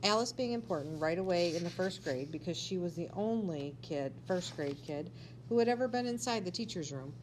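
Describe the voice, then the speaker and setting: A woman's voice: on the low side, expressive, brisk, a little hiss or hum, low-quality recording. A woman reading a children's story aloud expressively, like a teacher to a class.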